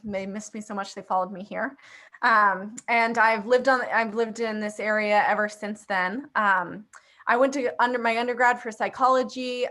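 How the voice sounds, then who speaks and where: Woman, 30s, host of a video call; bright, friendly, conversational, lots of "um". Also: medium pitch. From Afghanistan and Pakistan. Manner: upbeat, conversational, many fillers. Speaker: host presenting a church software platform. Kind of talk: casual video call presentation.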